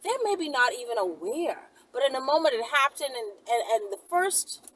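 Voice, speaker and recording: Woman, 40s, chatty vlogger, very lively intonation, recorded away from the mic in a vehicle. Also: sits high, normal speed, slightly rough. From the Bahamas. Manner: chatty, playful, rambling with asides. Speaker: vlogger telling stories to viewers. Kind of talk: casual storytelling vlog. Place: inside a moving vehicle. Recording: distant mic, vehicle noise.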